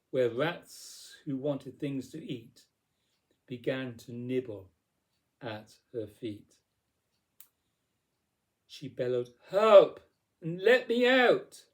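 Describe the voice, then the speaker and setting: A man narrating storybook verse as a poetry reader, with theatrical, deliberate phrasing. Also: mid-range voice, warm tone.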